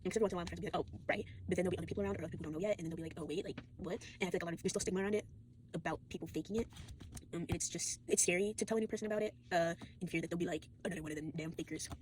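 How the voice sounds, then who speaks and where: Teenage girl, fatigued, rapid rambling speech, swears, low-ish voice. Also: a little mellow, a little hiss or hum, low-quality recording. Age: late teens. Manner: fast, rambling, full of fillers and restarts, swears often. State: fatigued and sore. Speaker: vlogger. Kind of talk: rambling casual monologue.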